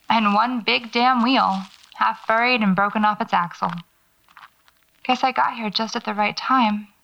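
A muffled, lo-fi tape carries the voice of a woman horror novelist alone at night. Her voice sits slightly high, with a heavy creak in it. She narrates her surroundings to herself in a wry, musing, naturalistic audio-fiction style. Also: fairly bright tone, a little hiss or hum. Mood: observant, musing. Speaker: audio drama actor. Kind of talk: naturalistic audio fiction monologue, spoken field notes. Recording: dull lo-fi cassette-style tape.

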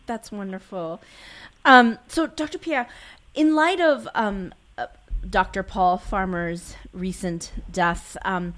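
A woman's voice: medium pitch, slow pace, fairly bright tone. A woman co-hosting a radio show, asking a long question with many fillers and restarts. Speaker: radio co-host. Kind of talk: interview questions.

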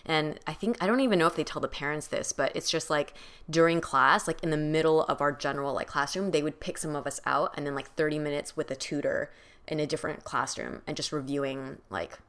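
Low-voiced woman in reflective chat. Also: a little fast. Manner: reflective, soft-spoken, many "like" fillers. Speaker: podcast co-host. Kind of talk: casual chat.

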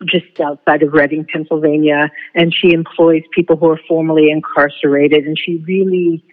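A woman's voice: low voice, flat delivery, normal speed. A woman playwright giving a thoughtful, articulate, reflective interview. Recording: thin phone-like line.

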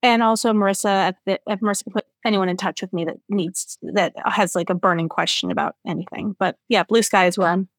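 A woman's voice: mid-range voice, fairly even intonation, fast talker, hardly any pauses, dry recording. Woman chatting as a podcast guest, dry sound. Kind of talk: casual interview conversation.

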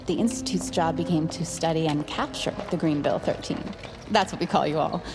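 An actress playing a cold, smug scientist villain in an audio drama, condescending and gloating beneath a soothing front. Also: female voice, low-pitched, brisk. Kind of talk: scripted audio drama acting. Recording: dramatic music behind the voice.

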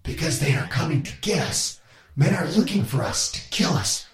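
A man's voice: fairly high pitch, sing-song, moderate pace, pitched above the performer's own voice. A man voicing an arrogant, bossy swarm of alien parasites with a male voice, heard through an echoey layered filter. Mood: insistent. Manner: arrogant, bossy, hissing urgency.

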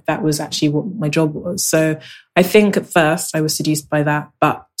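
A young woman, born in Nigeria, talking reflectively in an interview and leaning on fillers like "kind of". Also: low voice. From Nigeria, raised in South London. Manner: relaxed, many fillers like "kind of". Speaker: interviewee, writer.

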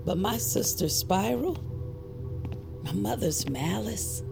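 An actress playing a guarded, earnest middle-aged witch on the run from her crime family, confiding in an intimate audio drama with a gravelly voice. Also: medium pitch, lively intonation, slow, full character voice. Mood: bitter, earnest. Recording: music under the voice. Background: music.

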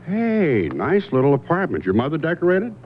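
A man's voice: on the high side, huge pitch swings, moderate pace, slight background noise. A man acting a laconic, matter-of-fact private investigator telling his case in an old-time radio drama. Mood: wry. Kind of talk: scripted first-person narration, old-time radio drama. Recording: muffled vintage radio, loud music behind.

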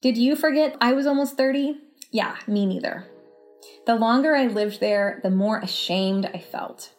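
A woman's voice: on the high side, moderate pace, soft background music. A woman in her thirties with an American accent, a writer speaking thoughtfully as a guest about personal experience. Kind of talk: reading a personal essay aloud, then thoughtful interview answers.

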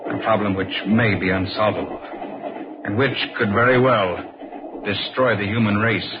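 An actor playing a solemn, troubled man in an old-time radio drama, speaking gravely and deliberately. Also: fairly low pitch, steady tone, close to an everyday voice. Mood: foreboding. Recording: noisy, muffled old radio recording.

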